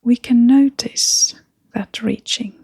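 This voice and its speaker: A woman leading a guided meditation in a gentle, breathy, whispery and creaky voice, speaking in short phrases with long, unhurried pauses. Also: on the high side, fairly even intonation, no echo.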